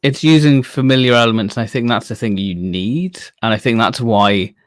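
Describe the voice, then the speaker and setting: A man with an average-pitched voice talks casually as a podcast co-host. The delivery is informal fan chat, and the sound is dry with very little room. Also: normal speed, dry recording.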